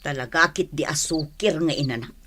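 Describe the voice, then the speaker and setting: A man's voice: a little high, a little fast, background noise, lightly acted. Stern Ilocano village mother acted out by a man. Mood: scolding. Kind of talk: Ilocano comedy drama acting.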